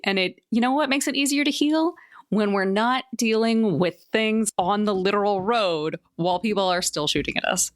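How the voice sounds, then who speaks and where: A woman's voice: mid-range voice, moderate pace, dry recording, studio quality. Sardonic woman bantering, closely recorded. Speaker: player on a tabletop game podcast. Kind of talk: casual banter.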